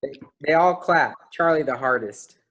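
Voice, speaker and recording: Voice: mid-range voice, moderate pace, fairly bright tone, slight background noise. A narrator reading out a play's stage directions as scripted narration.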